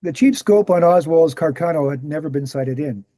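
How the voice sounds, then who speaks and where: Man playing an assured older researcher, slightly high-pitched. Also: normal speed, dark tone, dry recording, clean studio sound, close to an everyday voice. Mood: assured explaining. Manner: assured, explanatory. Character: jovial older male researcher, assured, turns hard when challenged. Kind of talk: screenplay table read.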